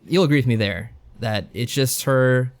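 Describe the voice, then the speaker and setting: A man's voice: mid-range voice, moderate pace. A man co-hosting a podcast in casual chat, giving a rambling, joking recap. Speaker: podcast co-host. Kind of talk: casual chat reviewing visual novels.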